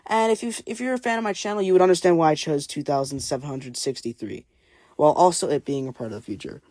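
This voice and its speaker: A casual creator narrates a homemade comic in a mid-pitched voice. The delivery rambles, with frequent unsure asides. The sound is dry, with very little room in it. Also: quick pace, a little mellow, no echo. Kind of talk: casual read-aloud commentary.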